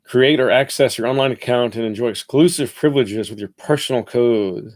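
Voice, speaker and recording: A man's voice: medium pitch, fairly quick, a little mellow. A man with a nasal voice, congested from a head cold, chatting through a casual fragrance review in a rambling, self-correcting way.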